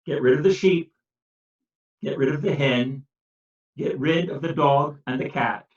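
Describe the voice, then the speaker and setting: An unhurried old village sage handing out advice one slow step at a time, as a storyteller voices him. He speaks slightly lower and softer. The sound is distant and roomy. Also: natural voice. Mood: calm. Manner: slow, measured instructions. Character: calm old village wise man. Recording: echoey, distant, dull sound.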